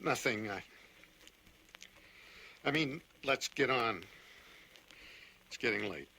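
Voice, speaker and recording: A grim colonial hunter in an old radio drama, speaking in a mid-range voice. He is terse and resolute, a leader who gives orders. The aged recording is clearly noisy. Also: medium pitch, animated, slow pace, sharp tone, heavily acted. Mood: subdued. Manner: terse, commanding. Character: stern, grimly determined colonial lion hunter in command. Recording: noisy vintage radio tape.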